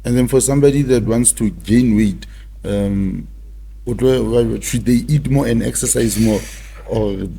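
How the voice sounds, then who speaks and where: A man's voice: mid-range voice, measured pace, creaky, mellow, breathy edge. A man with a South African accent hosting a casual interview, asking questions in hesitant phrases and mixing in a local language. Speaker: talk show host.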